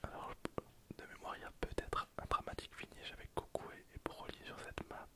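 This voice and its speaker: A man whispering casual, breathy ASMR commentary over gameplay in an informal way. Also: fairly quick. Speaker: gaming video creator.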